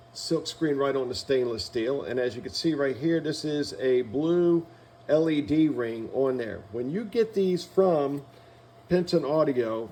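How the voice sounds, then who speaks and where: A man's voice: medium pitch, dark tone. A man giving an instructional product walkthrough in a methodical, explanatory manner, as a company demonstrator.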